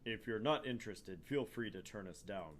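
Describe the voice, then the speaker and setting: A man voicing a genial, apologetic professor in an anime game read-aloud, with an emphatic, deliberate delivery. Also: fairly low pitch, expressive, slight background noise, close to an everyday voice. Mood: earnest, apologetic. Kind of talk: visual novel character read-aloud.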